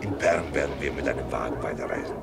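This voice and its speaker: An actor playing a calm, protective, secretive male courier in a radio play. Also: a bass voice, quick pace, gravelly, loud music behind the voice, exaggerated voice. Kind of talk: German radio play acting.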